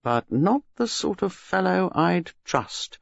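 A man's voice: high-pitched, sing-song, slow, gravelly, no echo, voice pushed up, exaggerated voice. A man voicing a stern colonel who speaks dryly and in a clipped way. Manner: dry, clipped. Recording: dull, dry lo-fi recording.

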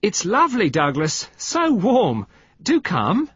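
A man's voice: medium pitch, sing-song, normal speed, slightly rough, no room sound, muffled recording, voice pushed up. A man voicing a timid, chirpy, eagerly polite young wife in a storybook reading. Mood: bright, calling. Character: small mousy, diffident, eagerly agreeable young wife.

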